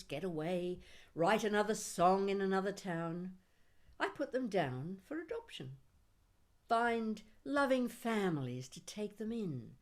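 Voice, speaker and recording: A mature woman reading her own poetry expressively, with deliberate phrasing and dramatic pauses. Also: fairly low pitch, a little mellow. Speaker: poet reading own work.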